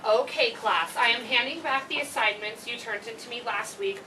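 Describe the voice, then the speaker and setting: A woman playing an effusive, gushing schoolteacher with emphatic, deliberate phrasing in an amateur stage play reading. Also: on the high side, bright, forceful tone. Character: effusive, gushing schoolteacher praising her students. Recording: distant mic, clearly noisy live room.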